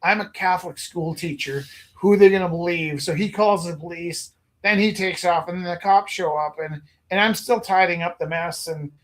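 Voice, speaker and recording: A man from Alberta tells long, wandering stories in casual conversation, slipping into little acted-out quotes. His voice sits notably high for a man. He runs an art gallery and also teaches. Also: moderate pace, few pauses. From Alberta, Canada. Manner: rambling, animated anecdotes with acted quotes. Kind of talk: casual storytelling chat.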